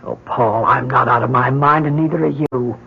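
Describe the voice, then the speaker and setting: A woman's voice: extremely low pitch, moderate pace. An actress playing an anguished, obsessed grieving mother in an old-time radio drama, pleading intensely. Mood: secretive, intense. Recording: muffled, noisy old radio recording.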